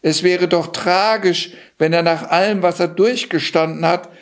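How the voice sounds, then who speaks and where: A man voicing a young, smitten princess in a fairy tale, soft-hearted and imploring. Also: sits high, steady tone, normal speed, voice pushed up. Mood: pleading. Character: smitten, pleading young princess, a king's daughter.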